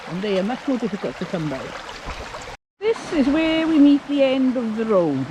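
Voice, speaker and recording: A woman muses aloud as she searches a stream bank, her pitch moving lively over a wide range. The rushing stream is clearly heard behind her, out in the open air. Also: background noise. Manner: curious, musing, asks viewers questions. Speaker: vlog co-host. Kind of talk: casual commentary while mudlarking. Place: outdoors by a woodland stream.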